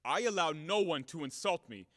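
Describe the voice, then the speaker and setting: An actor playing a brash, hot-tempered, blunt creditor in an audio play of a classic farce, with a blustering, theatrical, emphatic manner. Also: male voice, fairly high pitch, animated, normal speed, fairly bright tone, no room sound, clean studio sound, in a large hall. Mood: outraged, blustering.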